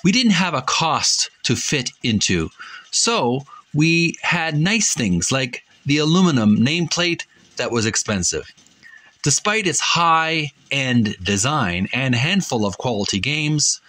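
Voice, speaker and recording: Man reading aloud in deliberate phrases. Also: mid-range voice, a bit bright. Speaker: host of a retro gaming channel. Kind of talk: reading a book aloud with casual commentary.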